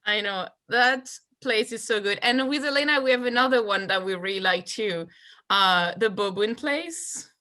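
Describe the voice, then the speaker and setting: A woman from France co-hosting a casual panel chat over a video call, talking in an expressive, animated way. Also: medium pitch, normal speed. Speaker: co-host.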